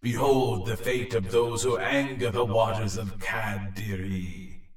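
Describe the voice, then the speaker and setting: A man's voice: lowish voice, fairly even intonation, slow pace. A man voicing a menacing undead water spirit in a folk tale, solemn and threatening, with a hollow echo. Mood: ominous, threatening. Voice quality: echoing, hollow. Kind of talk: dramatic storytelling. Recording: echoey, distant-sounding effect.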